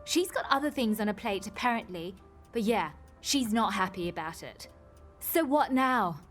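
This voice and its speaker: A woman's voice: medium pitch, expressive, normal speed, fairly bright tone, faint music behind. An actress playing an insistent, drily sarcastic village woman in a naturalistic radio soap. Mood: annoyed.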